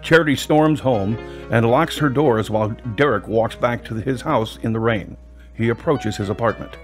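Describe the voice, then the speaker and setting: A man with a husky voice reading scripted narration for an audio drama. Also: mid-range voice, moderate pace, soft background music.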